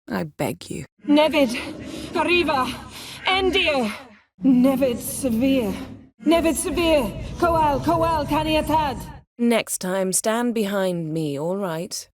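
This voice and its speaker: A woman playing a proud, sardonic, commanding sorceress in a video game, speaking in dry, measured, formal phrases. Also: fairly high pitch, lively intonation. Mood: cool, wry, then intense incantation, then stern, sardonic.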